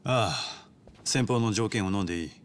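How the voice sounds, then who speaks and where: Game gangster executive, male actor: lowish, measured, formal, coolly calculating, faint rumble behind. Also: close to an everyday voice. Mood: composed, deferential. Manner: formal, courteous, measured business-like speech. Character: polite, calculating male yakuza underboss, coolly composed. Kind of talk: dramatic video game voice acting.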